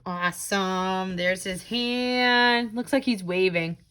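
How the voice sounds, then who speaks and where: A woman tutor demonstrates a craft for young children. She speaks slowly, in a bright voice of middling pitch. Her instructions are simple and encouraging, given one step at a time. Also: clear, resonant tone. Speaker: tutor leading a children's read-aloud craft.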